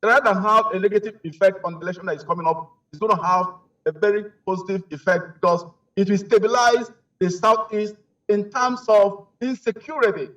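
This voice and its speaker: A man's voice: sits high, fairly bright tone. A man giving emphatic live news commentary as a remote guest, full of rhetorical questions and restarts. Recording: distant, dull lo-fi remote line.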